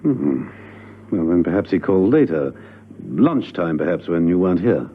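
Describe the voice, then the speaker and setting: An actor playing a suave, unflappable gentleman secret agent in a radio drama, urbane, understated and politely probing. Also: deep voice, sing-song, moderate pace, breathy edge, heavily acted. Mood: firm, courteous. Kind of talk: spy radio drama acting. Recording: muffled old radio recording.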